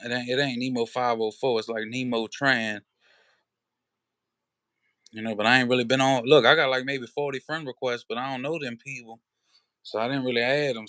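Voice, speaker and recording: A man's voice: mid-range voice, pushed and bright, dry recording, studio quality. A man hosting a livestream, chatting casually to his viewers in a laid-back, slangy way with lots of fillers. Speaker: livestream host.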